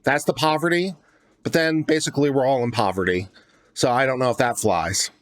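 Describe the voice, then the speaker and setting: A man's voice: mid-range voice, brisk, no echo. A man hosting a legal commentary channel, reading a document aloud and breaking off into casual, sardonic asides and rhetorical questions. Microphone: close studio microphone.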